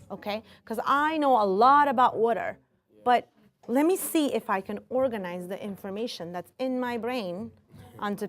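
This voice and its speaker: A woman teacher explaining her methods and leading a class in an encouraging way, often checking in. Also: medium pitch.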